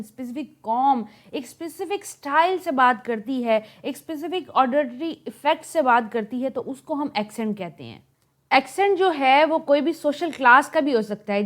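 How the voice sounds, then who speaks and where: A woman from Pakistan with a Pakistani Urdu accent, explaining linguistics notes as a lecturer, repeating points with examples and slipping in English terms. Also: fairly high pitch.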